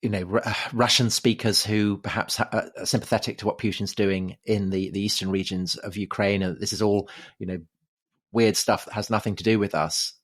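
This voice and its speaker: A man's voice: on the low side, fairly even intonation, a little fast. A man co-hosting a history podcast, thinking aloud with hesitant fillers and restarts. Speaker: podcast co-host. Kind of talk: casual history podcast chat.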